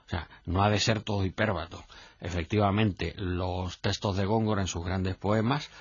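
Male academic lecturer, low-pitched and hesitant, explanatory delivery, muffled lo-fi radio sound. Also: fairly even intonation, breathy edge. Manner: hesitant, halting, self-repeating, didactic. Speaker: literature professor. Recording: muffled, echoey lo-fi radio recording.

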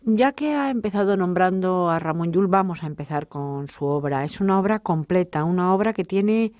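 A woman's voice: on the low side, expressive, normal speed, a little mellow, dry recording. A woman hosting an interview in a university studio, articulate and welcoming, asking long flowing questions. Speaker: radio interview host. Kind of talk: radio interview.

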